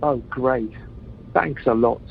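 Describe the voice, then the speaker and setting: A man's voice: mid-range voice, slow pace, creaky. A man playing a jokey, needling newlywed husband in an amateur comedy radio play. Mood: hurt, sarcastic. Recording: muffled conference call, faint noise.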